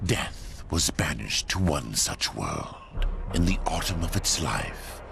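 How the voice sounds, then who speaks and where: An actor playing a solemn narrator of a dark fantasy myth, slow and portentous, with a gravelly voice. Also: male voice, rumbling low, sing-song, noisy background, exaggerated voice. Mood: solemn, foreboding. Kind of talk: scripted narration. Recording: loud cinematic music behind.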